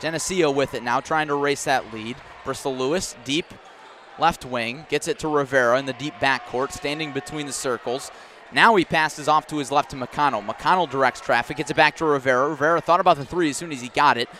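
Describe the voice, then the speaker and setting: A man's voice: mid-range voice, fairly quick, sharp tone. A man doing live radio play-by-play of a basketball game in clipped running description that rises on big plays. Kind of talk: live radio sports commentary. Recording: faint gym noise, bouncing balls. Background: basketball game in a gym.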